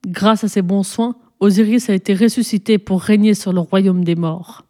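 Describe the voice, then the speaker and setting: An ancient, imperious pharaoh played by a woman reader holds forth from a storybook. The delivery is pompous and solemn, declaimed with majesty in a mellow tone. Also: medium pitch, fairly even intonation, normal speed, close to an everyday voice. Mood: solemn, lecturing. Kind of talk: storybook reading, character dialogue.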